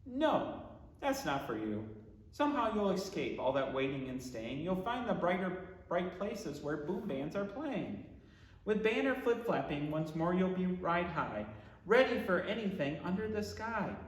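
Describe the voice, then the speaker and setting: A man with a high-pitched voice reads a children's storybook aloud in an expressive, theatrical way. His pitch swings widely through the rhymes, and he phrases each line deliberately. The sound is echoey, with long reverb around the voice. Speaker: pastor reading a children's book aloud. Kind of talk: expressive storybook read-aloud in rhyming verse.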